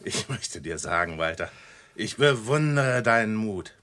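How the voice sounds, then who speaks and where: A bright, forward male voice in a radio crime drama. He plays a decent law partner who is uneasy and doubting, talking to a friend in a hesitant, apologetic way. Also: fairly low pitch, lively intonation, unhurried.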